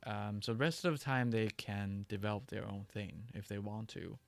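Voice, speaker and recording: Gravelly-voiced man chatting on a livestream. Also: on the low side, dry recording. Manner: halting, many restarts and fillers. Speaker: livestream host. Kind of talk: casual livestream chat answering viewer questions.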